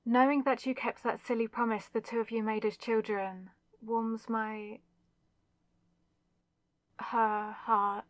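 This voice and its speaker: A woman playing a possessive, lovesick demonic villainess in a dramatic ASMR audio roleplay, speaking with intense, deliberate pauses. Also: fairly high pitch, level tone, dry recording. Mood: conflicted.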